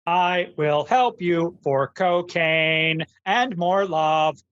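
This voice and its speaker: An actor plays a lovesick junkie garbage robot in a comic radio drama. She speaks very slowly and smoothly, flat and deliberate, in a dry, slightly dull recording. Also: mid-range voice. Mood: deadpan needy. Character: needy, amorous female garbage robot hooked on cocaine.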